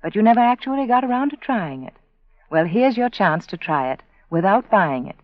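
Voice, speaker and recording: A woman giving a scripted radio advertisement as the sponsor, measured and persuasive, speaking to listeners. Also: medium pitch, big pitch swings, no echo. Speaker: sponsor spokeswoman. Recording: dry, dull 1940s radio broadcast.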